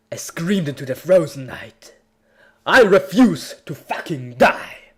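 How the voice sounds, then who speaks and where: A man's voice: medium pitch, husky. A man giving an over-the-top, bombastic dramatic reading of a story as an amateur narrator. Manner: theatrical, bombastic, swinging from whispers to shouts. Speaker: amateur reader of fan fiction.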